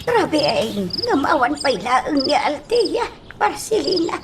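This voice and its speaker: A woman's voice: high-pitched, sing-song, slow pace, exaggerated voice. A woman with an Ilocano Filipino accent playing a fretful, worrying member of a household in a radio drama. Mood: anxious. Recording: loud background noise.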